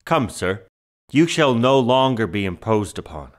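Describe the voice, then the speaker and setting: A man's voice: mid-range voice, very animated, unhurried. A man playing a sober, courteous young lover, speaking formally in a period comedy audio play.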